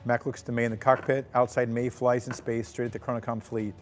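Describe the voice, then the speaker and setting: Man, script narrator, brisk pace, middle pitch, reading directions aloud, faint music. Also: little pitch movement. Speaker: script narrator at a table read.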